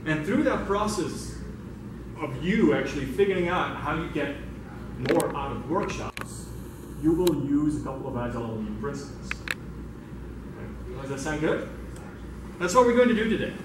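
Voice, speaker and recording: A man from Belgium presents a workshop in an informal, conversational way. He is recorded far from the microphone in a very reverberant room. Clear background noise runs under his speech. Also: a little high, expressive, moderate pace, long pauses. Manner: conversational, engages the audience with questions. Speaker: workshop facilitator, speaker. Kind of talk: informal talk to a meetup audience. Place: indoor meetup room.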